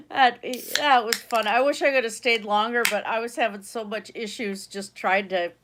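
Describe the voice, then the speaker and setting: A woman's voice: a little high, unhurried, a bit bright. A young woman with a stuffy cold, chatting casually and rambling as she hosts her own livestream from home. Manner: rambling, chatty, laughs often. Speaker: livestream host.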